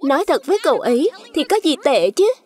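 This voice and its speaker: A woman's voice: high voice, sing-song, quick pace, a little mellow. A woman voicing a small childlike fruit creature, earnest and outspoken, in a children's cartoon dub. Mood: concerned.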